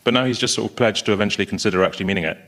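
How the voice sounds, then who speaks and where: A man's voice: lowish voice, racing, a bit bright. A man speaking as a comedy panellist, telling conversational comic anecdotes in a rambling, wry way.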